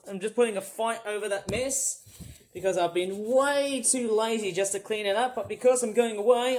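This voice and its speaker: English-born woman, kinda aussie-sounding accent mixed w british, nasal-ish voice, rambly vlog chat w lots of ums. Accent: mixed British and Australian, mainly Australian. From England. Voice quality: slightly shrill and nasal. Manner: rambling, many fillers and restarts, talks while cleaning. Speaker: vlogger.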